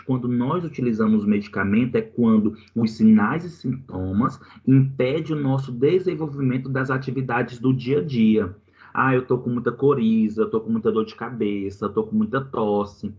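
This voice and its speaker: A man's voice: mid-range voice, muffled recording. A man giving a live online lecture on treating colds and flu, explaining step by step and checking in with the class. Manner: explanatory, many restarts and "tá?" checks. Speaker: pharmacy lecturer.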